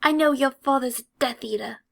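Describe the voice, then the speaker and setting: Hot-headed schoolboy played by a woman narrator, high and squeaky, sputtering and confrontational, for an audiobook. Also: fairly quick, creaky. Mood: indignant, accusing. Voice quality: sharp, squeaky. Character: angry, blustering red-haired schoolboy, squeaky and indignant.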